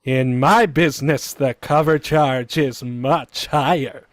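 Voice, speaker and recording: An actor playing a soft-spoken, gloomy undertaker who delivers his puns in a slow, mournful deadpan, in the style of vintage radio comedy. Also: mid-range voice, no echo, close to an everyday voice.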